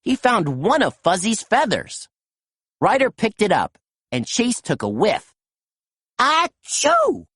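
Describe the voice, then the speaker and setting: A woman reading a children's picture book aloud in a gentle, expressive storytelling manner. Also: mid-range voice, unhurried, slightly rough, no echo, studio quality. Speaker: storytime reader.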